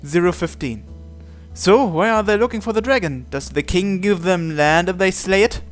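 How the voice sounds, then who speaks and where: A man's voice: sits high, moderate pace, soft background music. Man reading a visual novel aloud. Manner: reads narration and every character's lines in his own voice. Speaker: let's-play host.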